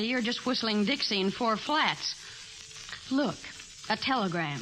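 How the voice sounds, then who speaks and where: A woman's voice: medium pitch, fairly bright tone, close to an everyday voice, low-quality recording. A woman playing a defiant small-town femme fatale in 1940s radio drama, curt and slangy.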